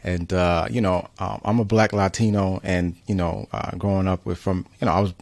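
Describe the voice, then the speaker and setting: Low-voiced male host, dry sound. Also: little pitch movement, moderate pace, vocal fry, a little mellow, dry recording. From New York City. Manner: earnest, reflective questioning. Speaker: interview host. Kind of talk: casual conversational interview.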